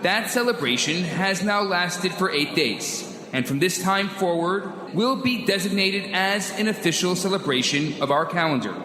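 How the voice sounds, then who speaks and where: Dignified rebel leader, echoing hall. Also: male voice, sits high, lively intonation, moderate pace, hardly any pauses. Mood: solemn, proud. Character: dignified victorious rebel leader at press conference. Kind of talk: radio drama speech. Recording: echoey hall, noisy background.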